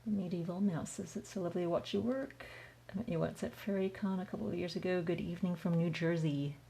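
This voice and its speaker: A woman's voice: lowish voice, quick pace, vocal fry, mellow, a little hiss or hum. A woman from the United States, raised speaking Chinese first, chatting casually with viewers on a livestream while she paints and reads their comments aloud. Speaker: artist hosting a livestream Q&A.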